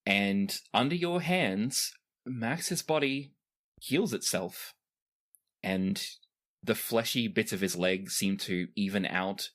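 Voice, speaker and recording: A man's voice: lowish voice, slow, a bit bright. A man acting as game master, improvising descriptive narration for a tabletop game with casual asides.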